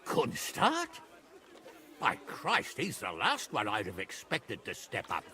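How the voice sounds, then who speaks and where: An actor playing a blunt, boisterous middle-aged nobleman in a medieval video game, gruff and given to exclaiming. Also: on the low side, huge pitch swings, slow pace, bright, forceful tone, exaggerated voice. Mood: blunt, astonished. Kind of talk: dramatic video game voice acting.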